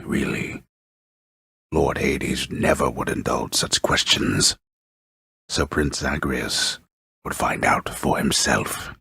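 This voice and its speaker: A man playing a grave storyteller of ancient Greek myth in a video game, narrating in a measured, formal, ominous and theatrical way. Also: rumbling low, fairly bright tone, husky, no echo, studio quality, heavily acted. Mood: hushed, brooding. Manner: measured, formal, ominous, with archaic grand phrasing.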